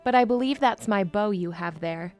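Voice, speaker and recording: A woman's voice: mid-range voice, moderate pace, darkish tone, faint music behind, lightly acted. A woman playing a spirited young princess in an audio drama, polite but bold in manner. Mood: cool, firm. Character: spirited young princess posing as a lady's maid. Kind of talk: fan audio drama acting.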